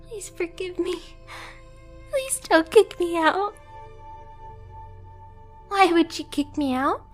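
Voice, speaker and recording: A woman voicing a timid, clingy young catgirl pet in an ASMR roleplay, soft and girlish, with meek, hesitant, stammering, apologetic speech. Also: extremely high pitch. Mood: panicked pleading, then sad, subdued.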